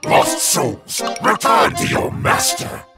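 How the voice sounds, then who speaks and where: A man voicing a pompous, raging demon overlord villain with gravelly, grandiose proclamations in a cartoon comic dub. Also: slow, loud music behind the voice, close to an everyday voice. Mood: menacing, commanding.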